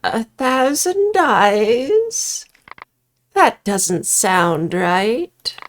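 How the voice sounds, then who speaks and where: A woman voicing a mysterious witch from a visual novel, feigning sweet innocence in a slow, drawn-out, coy and sinister manner. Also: fairly high pitch, sing-song, full character voice. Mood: feigned innocence. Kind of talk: visual novel character read-aloud.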